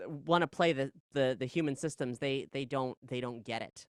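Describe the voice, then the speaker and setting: Halting, discursive podcast guest, dry close sound. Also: mid-range voice, smooth, no room sound. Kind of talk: casual conversational interview, philosophical musing.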